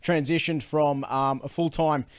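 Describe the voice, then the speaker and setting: A man's voice: fairly high pitch, fairly even intonation, a little slow, clear, resonant tone, dry recording. A man with an Australian accent hosting a casual interview, asking questions and bantering with his guest. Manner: friendly banter, frequent short agreements. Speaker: podcast host.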